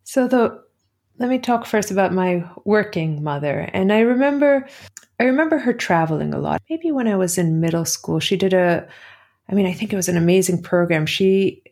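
A woman's voice: mid-range voice, expressive, moderate pace, darkish tone. A middle-aged woman from Greece with an American accent, telling personal stories as an interview guest. She talks conversationally and thinks aloud, correcting herself as she goes. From Athens, Greece. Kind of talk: reflective personal interview storytelling.

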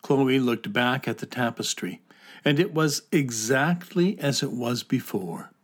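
A man narrating a children's fantasy audio drama in a measured, descriptive way. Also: medium pitch, expressive. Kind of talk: scripted storybook narration.

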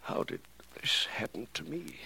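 An actor in a 1940s radio drama playing a weak, dying criminal professor, halting, gasping and bitterly taunting. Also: male voice, pushed and bright. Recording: noisy vintage radio recording.

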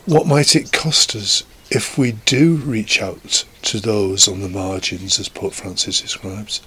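Bright-voiced man giving a homily. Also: fairly low pitch, steady tone, slow, husky. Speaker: preacher giving a podcast homily. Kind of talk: scripted spoken reflection. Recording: faint background noise.

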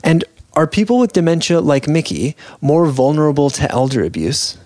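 A man's voice: on the high side, sing-song, normal speed, darkish tone, no room sound. A man hosting a post-show interview, speaking in a scripted, presentational style. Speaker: host.

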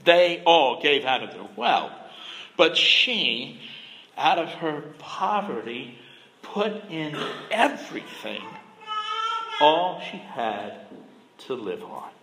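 A middle-aged man preaching a sermon as a pastor, soft-spoken, gentle and measured. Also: a little high, very animated, long reverb tail, poor audio. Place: church sanctuary.

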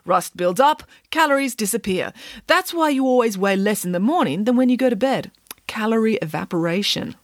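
Woman playing a grand, high-pitched pig nanny. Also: lively intonation, a little fast, no echo, clean studio sound, lightly acted. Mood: breezily confident. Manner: grandly assertive, emphatic, explaining nonsense as fact. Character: glamorous, boastful female pig nanny, absurdly confident. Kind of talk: audiobook character voice.

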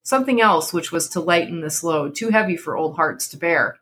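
A woman's voice: lowish voice, expressive, moderate pace, fairly bright tone, slight background noise. A woman narrating a literary horror story as a dramatic reading.

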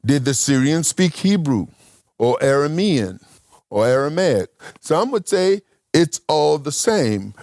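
A man's voice: fairly high pitch, very animated, slow, darkish tone, no room sound, studio quality. A man teaching a congregation about the Bible, asking questions aloud and thinking through his answers in short broken phrases.

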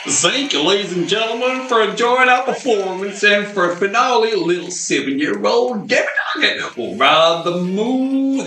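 Casting: a man as a bright, theatrical announcer, high and lively voice, amateur radio play, roomy sound. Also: full character voice. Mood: showman. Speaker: narrator and announcer.

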